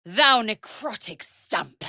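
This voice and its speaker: A woman's voice: on the high side, expressive, slow, sharp tone, dry recording, clean studio sound, full character voice. A woman playing a proud, stern githyanki warrior in a video game, with clipped, imperious delivery and formal, archaic phrasing. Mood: fierce battle cry. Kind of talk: video game character voice acting.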